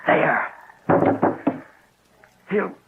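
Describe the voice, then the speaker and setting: An actor playing an obsessive, murderous husband, coldly polite and deliberate, in melodramatic old-time radio style. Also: male voice. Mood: obsessive, angry. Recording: tinny, noisy old radio recording.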